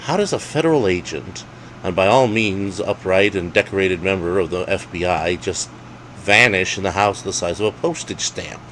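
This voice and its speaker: A man's voice: low voice, normal speed, a bit bright, rough recording. Story reporter played by a male reader, brooding and curious, dry questioning delivery, slight noise in the background. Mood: puzzled, musing.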